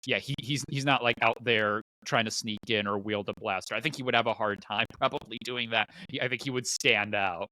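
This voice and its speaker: A man's voice: lowish voice, a little fast, hardly any pauses, pushed and bright, no echo, low-quality recording. A man co-hosting a casual fan podcast, thinking aloud in a slightly tentative, conversational way. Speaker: podcast host.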